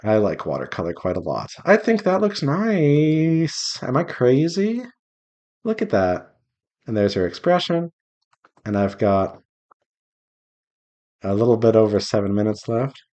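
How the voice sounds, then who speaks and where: A man's voice: medium pitch, sing-song, unhurried, long pauses, darkish tone. A man chatting casually and playfully to himself and his viewers while he draws, rambling along as an indie game developer on a devlog. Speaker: visual novel developer streaming while drawing.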